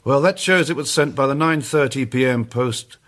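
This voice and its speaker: An actor playing a methodical police chief inspector in a period detective radio drama, speaking in a formal, measured, matter-of-fact way. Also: male voice, medium pitch, fairly bright tone, close to an everyday voice. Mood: businesslike.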